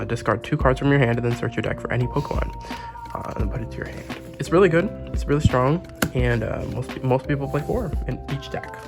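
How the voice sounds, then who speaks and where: A man with a sore throat and a hoarse, sick voice, chatting casually as he unboxes and reviews a card game deck. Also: medium pitch. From Canada. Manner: chatty, gushing over cute packaging. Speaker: trading card game YouTuber. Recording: music playing underneath.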